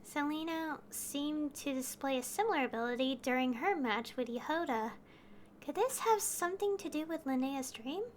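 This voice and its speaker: A woman's voice: high-pitched, animated, normal speed, a little hiss or hum. A woman streaming a video game, reading dialogue aloud and chatting casually to viewers.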